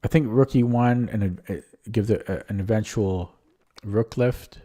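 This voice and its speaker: A man's voice: fairly low pitch, measured pace, warm tone. A man streaming chess who thinks aloud in a casual, hesitant way, his voice a little strained from talking nonstop. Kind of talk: casual live-stream commentary.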